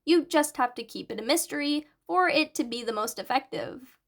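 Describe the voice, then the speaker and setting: An eager, bright teenage boy, performed by a woman in an audio story. His voice sits fairly high, and he explains things in an earnest, analytical way. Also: lively intonation, moderate pace. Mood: earnest explaining. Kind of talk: audiobook character dialogue.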